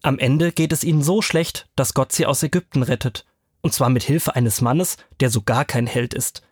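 A man as a guest storyteller, explaining Bible stories to children in simple, clear phrases. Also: medium pitch, moderate pace, no echo, clean studio sound.

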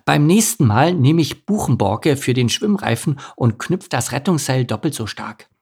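A man voicing an anxious young male duckling in a storybook reading, excitable and nervous. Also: mid-range voice, normal speed, breathy edge. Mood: cheerful.

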